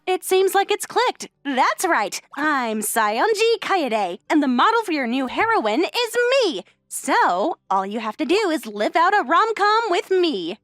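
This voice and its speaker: A woman playing a poised, sheltered high school girl in an anime-style comic dub, speaking with composed, polite earnestness. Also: very animated, a little slow, hardly any pauses, a bit bright, no room sound, clean studio sound, soft background music, full character voice. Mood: confident, sincere.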